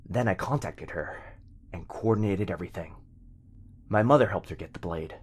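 A man acting as a devoted young boyfriend in an ASMR roleplay, tender, intimate and unhurried yet firm. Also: deep voice, slightly rough. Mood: calm resolve.

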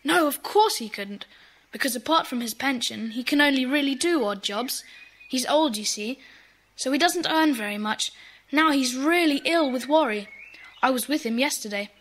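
High-voiced earnest schoolboy. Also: female voice, lively intonation, moderate pace, fairly bright tone, slight background noise, rough recording. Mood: worried. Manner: earnest, explanatory. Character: earnest, worried, kind-hearted schoolboy. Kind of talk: children's audio drama acting.

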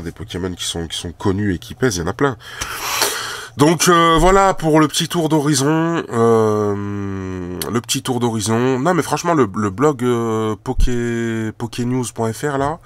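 A man's voice: lowish voice, fairly bright tone. A man with a cold talking casually while handling trading cards at a table, rambling with many fillers and repeated words. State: has a cold. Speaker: card collector and shop owner.

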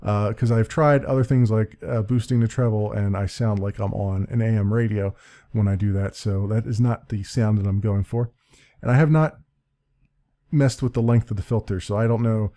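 A man with a deep voice giving a casual screen-recorded software tutorial at his computer desk, rambling, correcting himself and laughing a little. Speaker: let's play creator explaining his audio setup. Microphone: desk-mounted condenser mic with pop filter.

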